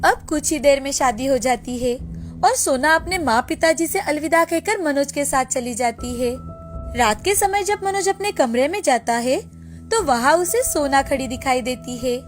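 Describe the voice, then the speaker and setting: Female narrator for moral bedtime stories: high voice, scripted delivery, soft music bed. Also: lively intonation, moderate pace. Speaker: storyteller.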